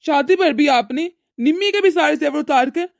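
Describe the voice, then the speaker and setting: A woman voicing an exasperated, cash-strapped adult son who protests and reasons with his mother in an animated family drama. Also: sits high, moderate pace, creaky, no room sound, studio quality. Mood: reproachful.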